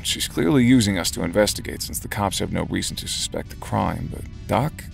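Man acting a very gravelly, probing reporter. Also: low-pitched, expressive, a little fast, soft background music, full character voice. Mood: wry, skeptical. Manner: casual, probing, skeptical. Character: probing, wry male investigative reporter. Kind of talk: audio drama dialogue.

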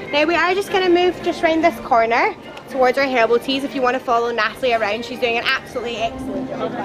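Loud, chatty woman tour guide. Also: high-pitched. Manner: loud, lively and chatty, with playful jokes. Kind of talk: guided tour talk to a group. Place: outdoor garden at a theme park. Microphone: camera a few steps away, no microphone.

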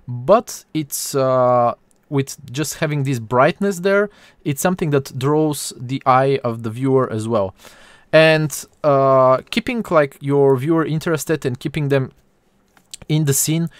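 A man with a Bulgarian accent hosts an informal live art lesson. His voice is mid-pitched and smooth, recorded very dry. He speaks haltingly, pausing often as he searches for words. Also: no room sound. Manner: halting, hesitant delivery with frequent pauses. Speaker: 3D artist hosting a stream.

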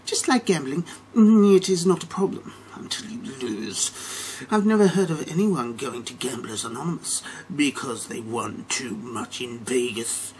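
A man's voice: medium pitch, measured pace, darkish tone, a little hiss or hum, natural voice. A middle-aged American man playing an arrogant, vengeful Wall Street banker in a theatrical dramatic reading that moves between whispers and emphatic declarations. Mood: cold, smug.

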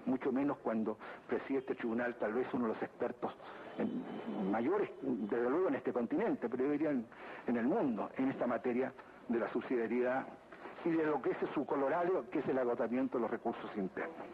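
A man presenting a formal legal argument for the state at a court hearing, deliberate and repeating phrases for emphasis. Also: mid-range voice. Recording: tinny lo-fi archive recording with background noise.

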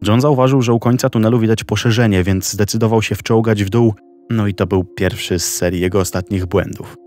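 A man narrating a documentary story in a slow, measured scripted style. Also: fairly low pitch, fairly even intonation. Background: soft background music.

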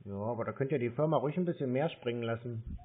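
A man of middle pitch stringing along a caller with dry, deadpan questions. His voice comes through a narrow, tinny phone line. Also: quick pace. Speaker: call recipient baiting a scammer. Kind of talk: phone call.

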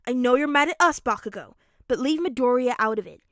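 A woman voicing a blunt, protective, loyal teenage boy who speaks forcefully in a story reading. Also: high voice, expressive, brisk, no room sound, studio quality, voice pushed up. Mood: firm, protective. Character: firm, loyal teenage boy standing up for friends. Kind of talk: acted audiobook-style fan fiction reading.

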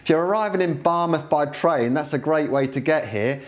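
A middle-aged man hosting a walking video tour of holiday flats, chatty and friendly as he shows rooms. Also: fairly high pitch, moderate pace. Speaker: property video host. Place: seaside quay outdoors, then rooms of a flat.

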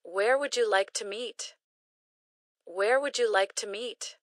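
Slow, carefully enunciating woman. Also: mid-range voice, no echo, studio quality. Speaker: English phrase reader. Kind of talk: slow repeated phrase drills.

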